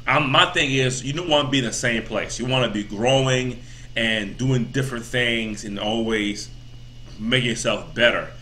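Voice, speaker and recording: A man's voice: mid-range voice, normal speed, sharp tone, slight background noise. A man in his thirties giving a casual, rambling motivational talk as a vlogger, full of repeats and "you know" fillers. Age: 30s.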